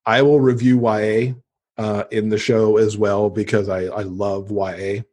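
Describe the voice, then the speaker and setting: Low-voiced man chatting, a bit husky. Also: level tone, unhurried, a little mellow. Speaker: podcast guest; podcast host and author. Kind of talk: casual interview chat.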